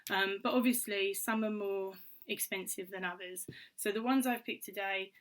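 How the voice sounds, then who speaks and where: A woman vlogger, slightly hoarse from a cold, chatting casually to camera with many ums and restarts. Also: medium pitch, steady tone, normal speed, fairly bright tone, rough recording. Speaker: vlogger and author.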